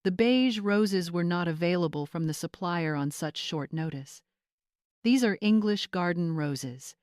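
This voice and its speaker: A woman's voice: fairly low pitch, a little slow, a little mellow, no room sound, studio quality, lightly acted. Woman acting a dignified, strained male estate manager. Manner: formal, tight-jawed, deferential.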